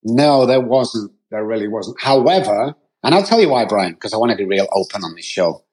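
A man's voice: lowish voice, expressive, normal speed, a little mellow, slightly rough, low-quality recording. A middle-aged man with a Manchester accent, a podcast guest telling his life story in an open, rambling, earnest way. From England.

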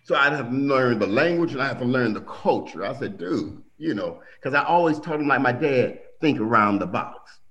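A middle-aged man telling family stories in a casual conversation, animated and acting out quotes. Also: normal speed, hardly any pauses, dull audio. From Milwaukee. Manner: animated storyteller, acts out quotes with big pitch jumps.

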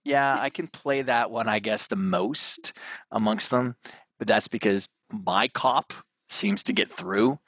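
Man, 30s, deep and forceful, dry close sound, rambling guest answers. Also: takes it slowly, no echo. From Canada. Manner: rambling, thinks aloud with restarts. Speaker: guest, mental performance coach. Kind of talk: casual interview chat.

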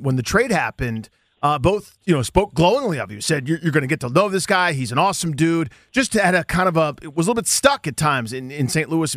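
A man's voice: on the high side, fairly bright tone, no room sound. An American man hosting a casual sports radio interview, chummy and wordy, close on a studio microphone.

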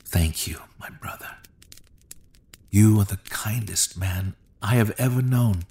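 A man's voice: lowish voice, measured pace, warm tone. A man voicing a humble outlaw leader in a storybook reading, speaking sincerely and with emotion. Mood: emotional. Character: humble outlaw leader, future king, heartfelt. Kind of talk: storybook character voice.

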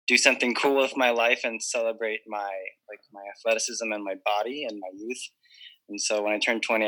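A man's voice: lowish voice, sharp tone, rough recording. A man in his twenties from Hawaii, a podcast guest on a video call, talking earnestly and reflectively with many fillers. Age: 20s. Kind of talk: casual interview chat.

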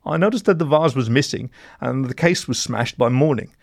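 A man's voice: mid-range voice, normal speed, gravelly, dry recording, studio quality, voice pushed up, lightly acted. A man voicing a nervous, tearful mole drug runner in a story, stammering and pleading. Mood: anxious, confiding. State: sobbing.

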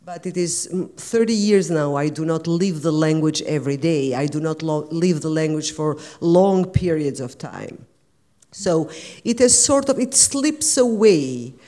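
A woman speaks English with an Albanian accent, her tone mellow and low in brightness. She talks reflectively, often stopping and restarting mid-thought. It sounds like a live panel discussion at a literary event. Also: expressive. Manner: thoughtful, halting, searching for words. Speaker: writer on a panel. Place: lecture event before an audience.

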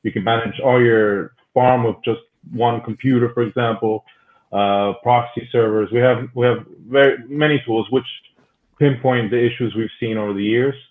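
A man's voice: mid-range voice, steady tone, moderate pace. A man whose first language is Spanish, talking in an easygoing way as a guest in a casual podcast interview. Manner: talkative, easygoing. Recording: tinny phone-like line.

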